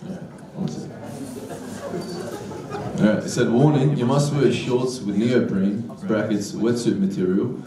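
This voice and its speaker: A man's voice: mid-range voice, unhurried, long pauses. Male stand-up comic from Iraq, blunt and crude, heard from afar in an echoing club. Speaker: stand-up comedian. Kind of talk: stand-up comedy set. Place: comedy club stage. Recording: roomy club sound from a distance with loud crowd laughter.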